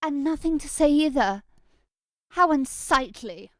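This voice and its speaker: A woman's voice: sits high, lively intonation, measured pace, no echo, clean studio sound. A woman playing an eager, haughty young society lady in an audio drama, with prim, old-fashioned theatrical diction. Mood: disgusted, indignant.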